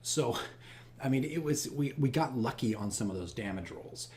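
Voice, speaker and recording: A man telling a casual, animated story as a game master on a vlog, rambling and repeating his phrases. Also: medium pitch, moderate pace, slight background noise. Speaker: tabletop game master recounting his campaign.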